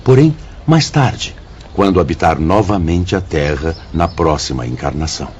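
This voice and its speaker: A man's voice: sits low, animated, normal speed, darkish tone, slight background noise. An actor with a Brazilian accent playing a wise, fatherly spirit director in a radio drama, grave, instructive and emphatic. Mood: fatherly, encouraging. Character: Teócrito, wise fatherly male spirit director, solemn mentor. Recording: dull lo-fi audio, music behind.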